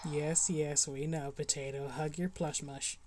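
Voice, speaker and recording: A woman's voice: low voice, little pitch movement, unhurried, darkish tone. A woman streaming a game, making casual, playful commentary and teasing asides to friends. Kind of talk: casual livestream commentary and banter. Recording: livestream with faint game noise.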